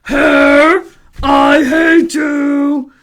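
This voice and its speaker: A woman's voice: high voice, expressive, measured pace. A woman reading a storybook as a frantic man pleading for help. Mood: angry.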